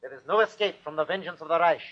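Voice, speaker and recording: An actor playing a ruthless, fanatical German army captain with a commanding, clipped delivery in an old-time radio drama. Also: male voice, a little high, rapid-fire, natural voice. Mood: harsh, declamatory. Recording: dull vintage radio recording.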